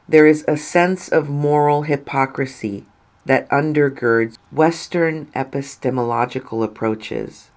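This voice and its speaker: Female narrator for a read-aloud article: slow, measured delivery, deliberate pausing between phrases. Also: deep voice. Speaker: reader of an article.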